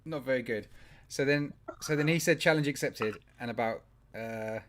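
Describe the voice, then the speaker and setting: A man's voice: mid-range voice, moderate pace. A man co-hosting a live show in casual chat, telling stories and laughing often. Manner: animated storyteller, laughs often. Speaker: podcast co-host.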